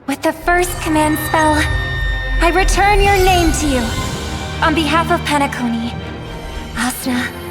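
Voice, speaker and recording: An actress playing a solemn young stage performer, speaking formally and earnestly in a dramatic game style. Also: female voice, high voice. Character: solemn young female stage performer freeing a fallen idol. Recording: music behind the voice.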